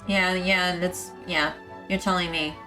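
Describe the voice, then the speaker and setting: A woman's voice: on the low side, steady tone, a little slow, over music. A woman streamer chatting casually with viewers over gameplay in an exclamatory, reactive way.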